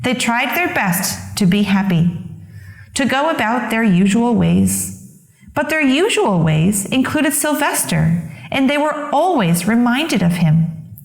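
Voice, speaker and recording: A woman reads a picture book to children in a fairly high voice. Her phrasing is slow, deliberate and expressive. The recording has a clear room echo. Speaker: children's storyteller. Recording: echoey room.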